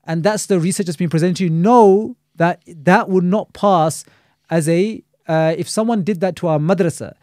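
A man's voice: high voice, normal speed, mellow, no echo, studio quality. A man, an Islamic scholar and teacher, talking critically in a casual podcast discussion, scattering Arabic religious terms through his speech.

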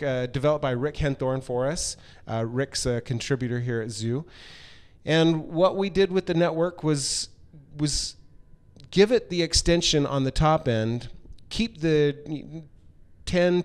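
A middle-aged man with a clean, smooth voice gives an informal product presentation. He speaks at an ordinary male pitch into a handheld mic, often halting mid-phrase to find the next piece of his explanation. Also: a little mellow. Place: large hard-walled workshop room, indoors. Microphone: handheld microphone held close.

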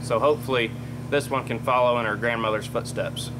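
A man's voice: mid-range voice, steady tone, brisk, background noise. A young man in his late teens from Texas, a junior cattle exhibitor, talking matter-of-factly about show results and prep routines in a barn, on a lapel mic. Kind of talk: interview monologue. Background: background music.

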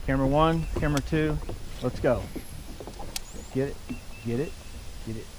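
A middle-aged man talks his way through a day of fishing from a small boat on a river. His voice sits in an ordinary middle pitch, and he rambles along, getting excited whenever a fish bites. It is caught outdoors on an action camera, with a faint hiss of wind behind him. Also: moderate pace. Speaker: fishing vlogger. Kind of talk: casual running commentary while fishing. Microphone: body-mounted action camera.

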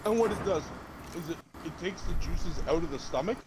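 A man's voice: a little high, lively intonation, a little fast. A man from Scotland chatting casually while gaming, sweary and repetitive as he rambles through stories, with game sounds behind him. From Edinburgh, Scotland. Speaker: game streamer. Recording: game noise behind voice chat. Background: shooter game sounds.

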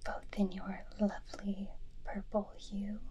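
A young woman performing an ASMR roleplay as an affectionate, teasing superhero wife, speaking softly and intimately close to the microphone. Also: mid-range voice, monotone, highs cut off. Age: young adult. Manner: soft, tender, slow and intimate, teasing. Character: affectionate, playfully bossy superhero witch doting on her husband. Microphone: close binaural ASMR microphone.

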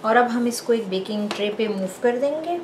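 Calm woman home cooking host, fairly high voice. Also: moderate pace, background noise. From Pakistan. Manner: calm, explanatory, addresses viewers directly. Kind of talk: step-by-step cooking instruction. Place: home kitchen.

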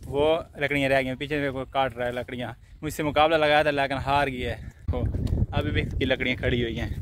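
A man vlogs from an open farm field, speaking into a phone he holds at arm's length. His voice sits at an ordinary middle pitch, and his talk is chatty and easygoing, full of pointing and small laughs. A faint outdoor hum runs underneath. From Punjab, Pakistan. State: tired, out of breath after field work. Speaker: village vlogger. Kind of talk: casual chat, talking to viewers. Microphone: phone held at arm's length.

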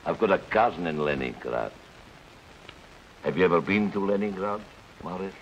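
A sly camp informer, very deep and needling; old hissy film audio. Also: male voice, lively intonation, normal speed. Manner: probing, needling. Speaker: suspicious fellow inmate in a refugee camp. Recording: dull 1950s film soundtrack with hiss.

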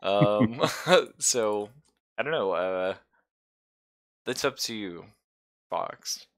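A man's voice: on the low side, expressive, very slow. A man casually narrating an online tabletop game as game master, in a joking, loose way.

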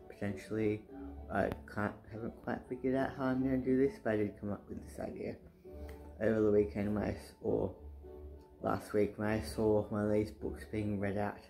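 Young man, soft deep voice, gravelly, hesitant rambling chat on a couch, camera close by, faint music behind. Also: rough recording. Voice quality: soft-spoken, says his voice is soft and deep. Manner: rambling, hesitant, many fillers and restarts. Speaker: booktuber. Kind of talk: casual chat. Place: living room, indoors, sitting on couch.

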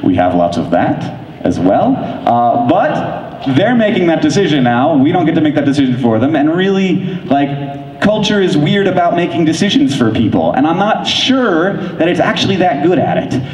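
Excited man on a big convention stage. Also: fairly high pitch, muffled recording, a little hiss or hum. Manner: fast, enthusiastic, filler-heavy with frequent likes. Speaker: keynote speaker. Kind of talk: conference keynote talk. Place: large convention hall stage. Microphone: handheld microphone. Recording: echoey hall from far off.

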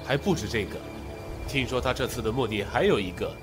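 An actor playing a grave, concerned ally in a Chinese period audio drama, earnest and measured. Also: male voice, mid-range voice, animated, soft background music, natural voice. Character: grave, concerned male ally bringing intelligence.

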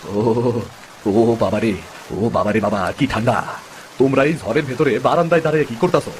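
A man's voice: medium pitch, normal speed, a little hiss or hum, close to an everyday voice. A man playing a poor, good-natured village fisherman and father in a cartoon, speaking plaintively with a rural eastern Bengali accent. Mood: weary, plaintive. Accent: rural eastern Bengali (Bangladeshi). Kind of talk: dramatic cartoon story dub.

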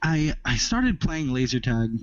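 A man's voice: mid-range voice, sing-song, measured pace, dry recording. A man of twenty from Southern California, a podcast guest chatting casually and rambling with restarts. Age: 20s. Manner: rambling, restarts phrases, easygoing. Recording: dry, muffled lo-fi audio.

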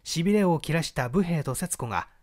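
A man narrating literary fiction from a script as an audiobook reader, with deliberate, theatrical storytelling. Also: on the high side, lively intonation, darkish tone.

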